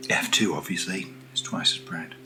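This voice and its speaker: Very deep, soft-spoken British man, hushed. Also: normal speed, music in the background. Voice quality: soft, often dropping to a whisper. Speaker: ASMR video host. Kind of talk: soft-spoken ASMR unboxing.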